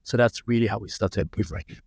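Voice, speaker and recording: A man in his late thirties from Nigeria, telling relaxed stories as a founder in a casual podcast interview. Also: fairly low pitch, fairly quick, vocal fry, slightly rough, dry recording. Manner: relaxed anecdotal storyteller. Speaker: startup founder, guest.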